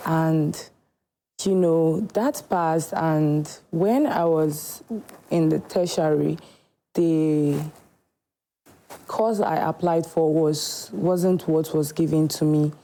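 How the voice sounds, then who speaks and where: A woman from Nigeria, a shoemaker guest telling her story in a TV studio interview, earnest and unhurried. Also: deep voice, warm tone, low-quality recording.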